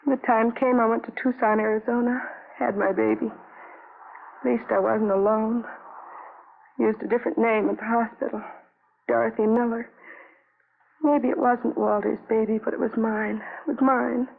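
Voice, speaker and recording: An actress playing an anguished, lonely young wife in a 1950s radio drama, speaking in halting, repetitive fragments on a vintage broadcast recording. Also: female voice, fairly high pitch, steady tone, creaky, phone-quality sound. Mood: weary, confessing.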